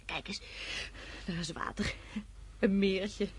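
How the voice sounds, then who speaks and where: A woman's voice: mid-range voice, fairly even intonation, takes it slowly, breathy edge. An actress in a radio drama playing a weary woman fleeing on foot, plaintive and reproachful, sometimes drifting into dreamy murmurs. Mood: dreamy, wistful. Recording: noisy radio play recording.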